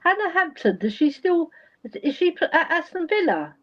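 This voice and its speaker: A woman's voice: very high-pitched, sing-song, moderate pace, fairly bright tone. A woman from England with an English accent, chatting casually and reacting as she watches a live football match. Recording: dull, lo-fi stream audio.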